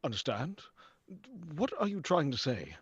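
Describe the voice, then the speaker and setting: A man's voice: medium pitch, huge pitch swings, measured pace, slightly rough, no room sound, full character voice. Man acting a stubborn house buyer, muffled recording. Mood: unbothered, curious. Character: stubborn, sceptical, suspicious house buyer, a self-styled jinx breaker. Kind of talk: 1940s radio drama acting. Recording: dull lo-fi sound with faint noise.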